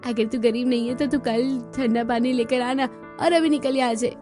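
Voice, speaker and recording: A woman's voice: a little high, steady tone, normal speed, over music, close to an everyday voice. A woman voicing a snobbish schoolchild who mocks others in a scripted cartoon. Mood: mocking. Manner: mocking. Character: taunting, snobbish school-age child bully.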